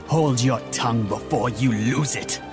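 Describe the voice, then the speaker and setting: Proud young samurai general in a game cutscene played by a man: mid-pitched, formal and solemn, with the firm authority of a loyal commander. Music plays prominently behind him. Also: a little slow, natural voice. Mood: stern. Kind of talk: dramatic game cutscene acting.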